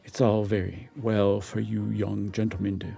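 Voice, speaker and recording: A man's voice: on the low side, little pitch movement, normal speed, vocal fry, mellow, voice pushed down. A man voicing an indulgent, folksy English innkeeper in a story reading. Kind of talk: amateur dramatic reading.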